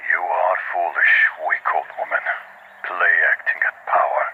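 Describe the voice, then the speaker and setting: Noisy phone-like recording, man acting ancient male vampire, low-pitched, gloating, commanding, audio drama villain. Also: level tone, lightly acted. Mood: scornful threat. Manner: slow, deliberate, gloating. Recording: noisy, thin phone-like sound.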